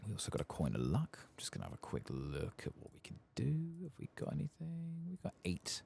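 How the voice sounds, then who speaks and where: A man giving soft-spoken ASMR commentary over a video game, murmuring and sometimes slipping toward a whisper. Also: mid-range voice, huge pitch swings, fairly quick, slight background noise. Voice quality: soft, husky, gravelly. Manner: murmuring to himself, partly whispering.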